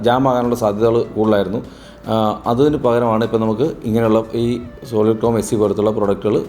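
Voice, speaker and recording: Man, fast-paced, mellow tone, explanatory product reviewer, demonstrating and rephrasing. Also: mid-range voice. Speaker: product reviewer for a camera store. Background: background music.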